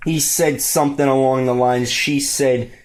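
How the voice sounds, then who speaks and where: A man's voice: mid-range voice, steady tone, normal speed, heavy background noise, natural voice. A man putting on a disgruntled, pushy New Yorker with a New York accent, wheedling and crude on a prank call. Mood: aggrieved. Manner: wheedling, sweet-talking, foul-mouthed complaining. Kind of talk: improvised prank phone call.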